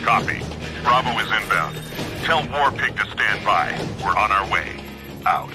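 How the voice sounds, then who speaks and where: Gung-ho Marine lieutenant. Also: male voice, lowish voice, expressive, a little slow, over music. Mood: businesslike. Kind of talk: military orders in a game.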